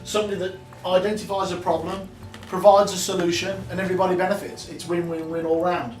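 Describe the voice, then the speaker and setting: A man hosting a conversational interview, summing up points and sometimes starting sentences haltingly. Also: high-pitched, flat delivery. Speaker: interview host. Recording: roomy, distant mic, faint music behind.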